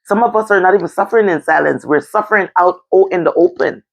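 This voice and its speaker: A woman's voice: fairly low pitch, quick pace, vocal fry, slight background noise. A woman with a Jamaican accent in a candid, casual discussion, blunt and emphatic, repeating phrases for stress. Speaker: discussion show host.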